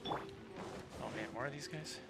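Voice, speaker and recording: A man's voice: mid-range voice, slow pace. Casting: male game streamer, off-the-cuff and rambling, talking over prominent game music. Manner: rambling, thinking aloud. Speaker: game livestreamer. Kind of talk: casual chat while playing. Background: video game music.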